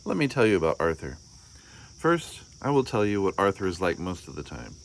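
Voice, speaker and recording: A man reading a children's picture book aloud as a parent narrator, in a measured, expressive storytelling manner. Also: sits low, a little hiss or hum. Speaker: storybook reader.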